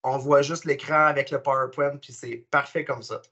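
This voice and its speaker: Husky Québécois man hosting a webinar. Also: mid-range voice, fairly even intonation, moderate pace, pushed and bright, highs cut off. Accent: Québécois French. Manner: enthusiastic and friendly. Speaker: webinar host. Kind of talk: casual webinar presentation.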